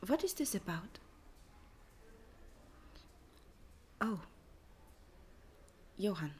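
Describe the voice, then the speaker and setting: A hurt nervous wife in an audio roleplay, breathy and hesitant, really slow, faint background noise. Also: female voice, mid-range voice, very animated, darkish tone. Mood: wary. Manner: hesitant, halting delivery. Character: hurt, anxious wife of a missing man, soft-spoken.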